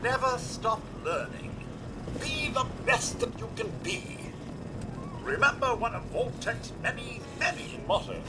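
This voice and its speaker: Brief: upbeat male vault announcer, slow and sing-song. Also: very high-pitched, big pitch swings, heavily acted. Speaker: game announcer. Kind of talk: scripted game voice acting. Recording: game audio with loud background noise.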